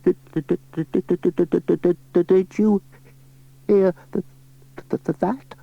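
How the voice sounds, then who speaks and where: A man's voice: high voice, steady tone, takes it slowly, creaky, pitched above the performer's own voice, heavily acted. A man voicing a modest, friendly village postman in a storybook, speaking hesitantly. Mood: frightened. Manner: hesitant, apologetic. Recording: muffled, hissy cassette transfer.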